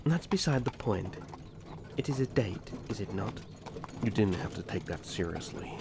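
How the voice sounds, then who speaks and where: A man playing a sensible, courteous elf prince in a fantasy roleplay, formal, measured and chiding. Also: lowish voice, expressive, noisy background. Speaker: voice actor. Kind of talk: ASMR fantasy audio roleplay.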